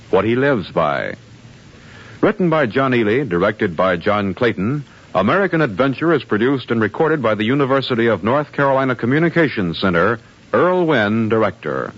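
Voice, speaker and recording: A formal male announcer narrates in 1950s radio style. His scripted delivery is measured but rises and falls expressively. The broadcast sound is old and dulled. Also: mid-range voice. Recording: muffled vintage radio broadcast.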